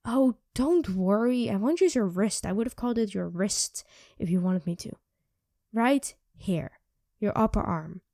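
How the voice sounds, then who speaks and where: A woman voicing a sardonic, teasing female vampire who mocks and coaxes in an ASMR roleplay, dropping into soft whispers, close to the mic. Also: on the high side, dry recording.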